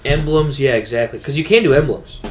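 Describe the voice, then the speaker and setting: A man's voice: medium pitch, fairly quick. A man chatting informally and in slang as co-host of a casual gaming podcast.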